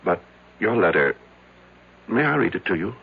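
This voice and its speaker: An actor playing a reserved psychiatrist, speaking formally and with measure in an old radio drama. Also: male voice, low voice, sing-song, normal speed, creaky, breathy edge, heavily acted. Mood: reserved, professional. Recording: dull old radio broadcast.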